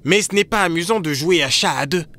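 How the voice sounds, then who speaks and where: A woman voicing an expressive, easily scared young boy in a children's cartoon. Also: fairly low pitch, a little fast, natural voice. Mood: earnest explaining. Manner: expressive, exclaiming. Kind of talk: animated children's cartoon dialogue.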